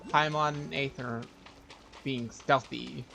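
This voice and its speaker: A man's voice: mid-range voice, steady tone, takes it slowly, creaky, bright, forceful tone, slight background noise. A man streaming a video game, thinking aloud in casual, offhand commentary. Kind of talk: casual live gameplay commentary.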